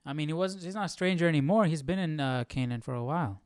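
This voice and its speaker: A man's voice: fairly high pitch, fast talker, smooth, no echo, clean studio sound. A man hosting a livestreamed study, talking casually and questioning as he muses aloud to himself. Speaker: host of a Bible study livestream.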